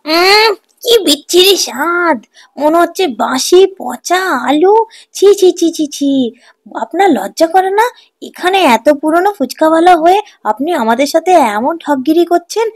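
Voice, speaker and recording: A woman voicing outspoken, excitable village customers in a children's cartoon, exclaiming and repeating phrases emphatically. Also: very high-pitched, measured pace, exaggerated voice. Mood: disgusted, scolding. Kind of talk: children's cartoon fairy tale.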